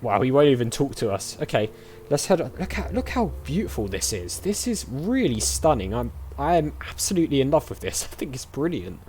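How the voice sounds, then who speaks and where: A man's voice: mid-range voice, big pitch swings, fairly quick, a little mellow, slight background noise. A man hosting a gaming video, giving casual let's play commentary and reading dialogue options aloud.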